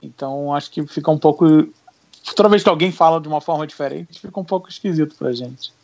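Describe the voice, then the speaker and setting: Carioca man, animated storyteller, fairly high voice, dry and slightly dull recording. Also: fast, vocal fry, no echo. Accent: Carioca Portuguese. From Rio de Janeiro, Brazil. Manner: lively, animated storytelling with laughs. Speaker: podcast co-host. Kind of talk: casual chat.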